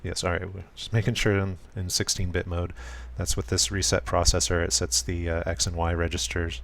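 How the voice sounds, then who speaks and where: Soft-spoken low-voiced man explaining code. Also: little pitch movement. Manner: soft, deliberately smooth and low-key, with frequent ums and self-corrections. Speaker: programmer streaming a live coding session. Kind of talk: casual live-coding walkthrough.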